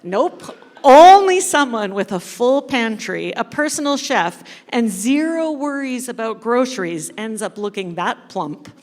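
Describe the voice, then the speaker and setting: Female, higher-pitched, unhurried church storyteller with playful delivery and dramatic pauses, heard in a reverberant hall. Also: lively intonation. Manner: playful, addresses the audience directly, dramatic pauses. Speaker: kids ministry coordinator leading a church service. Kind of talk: interactive storytelling sermon to a congregation. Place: church hall, speaking to a congregation. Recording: echoey hall with long reverb.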